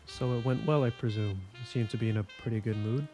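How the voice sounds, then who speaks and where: A man's voice: medium pitch, steady tone, quick pace, mellow, soft background music, natural voice. A man playing a weary, skeptical police investigator in an audio drama, speaking in a dry, blunt, impatient way. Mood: probing, dry.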